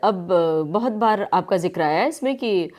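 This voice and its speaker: A woman's voice: lowish voice, lively intonation, moderate pace, a little mellow. A woman hosting a radio interview, asking questions in a polite, encouraging way. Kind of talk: radio interview with frequent listening acknowledgements.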